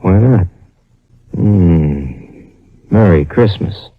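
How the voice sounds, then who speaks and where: Casting: hard-boiled yet soft-hearted radio detective, lower register, muffled period sound. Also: male voice, animated, very slow, full character voice. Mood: tender, teasing. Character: tough, tender male private detective. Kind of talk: old-time radio drama acting. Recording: dull vintage radio recording.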